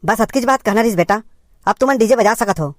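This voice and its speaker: A woman playing a concerned village uncle in a cartoon comedy, gently lecturing in a hesitant way with a Chhattisgarhi accent. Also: fairly high pitch, fairly quick, no room sound, studio quality, close to an everyday voice. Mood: admonishing, earnest.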